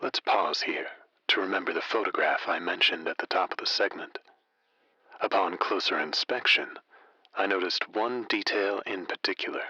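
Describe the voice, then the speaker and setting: A deep-voiced, mysterious radio host, folksy and conspiratorial. The voice is husky yet very forceful and sharp-edged. It is recorded close and dry, as in late-night radio drama. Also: male voice, dry recording, muffled recording, full character voice. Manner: deliberate, intimate, conspiratorial.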